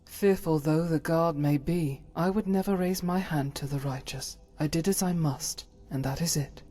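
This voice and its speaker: Zealot priestess for fantasy RPG, woman acting: low-lit mellow timbre, formal, pious, solemn, unbending. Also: normal speed, soft background music, lightly acted. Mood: righteous, solemn. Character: solemn, zealous female holy cleric, unshakably devout. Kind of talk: video game character dialogue.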